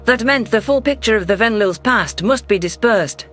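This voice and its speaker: A man reads a science fiction audio story as its narrator. His voice sits very high for a man, and soft music plays underneath. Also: animated, moderate pace. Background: faint music.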